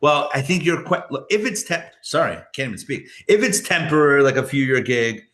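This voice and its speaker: Chuckling rabbi teaching a live class. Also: male voice, on the high side, animated, a little fast, fairly bright tone. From born in Israel, raised elsewhere. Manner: casual, self-interrupting, chuckles often, answers audience questions. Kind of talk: live Torah class, conversational lecturing.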